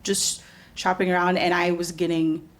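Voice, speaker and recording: Woman, from the US, podcast guest, casual chat, animated storyteller, lower voice. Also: moderate pace. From United States. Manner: animated storyteller, laughs often, acts out quotes. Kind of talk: casual conversation.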